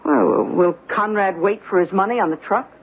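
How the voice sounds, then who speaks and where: A woman acts a fretful but practical young trucker's wife in a vintage radio drama. She speaks gently and questioningly, through a narrow broadcast sound with faint hiss. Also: medium pitch, normal speed, gravelly, close to an everyday voice. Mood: concerned. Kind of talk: old-time radio drama acting.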